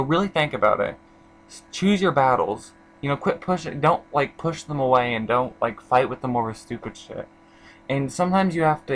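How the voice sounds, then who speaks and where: A man's voice: medium pitch, fairly bright tone, a little hiss or hum, poor audio. A young man from Indiana casually reviewing an album, rambling with lots of fillers and restarts.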